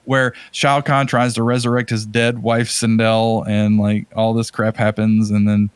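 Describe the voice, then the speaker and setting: A man's voice: on the low side, level tone, unhurried, clean tone, no room sound. A man co-hosting a podcast, chatting casually and enthusiastically, rambling as he thinks aloud. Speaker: podcast co-host. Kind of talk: casual chat about video game movies.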